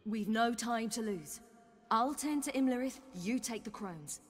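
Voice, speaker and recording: An actress playing a headstrong, brisk young female warrior in a fantasy game, urgent and defiant, in a reverberant space. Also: a little high, lively intonation. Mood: brisk, determined. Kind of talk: cinematic game acting.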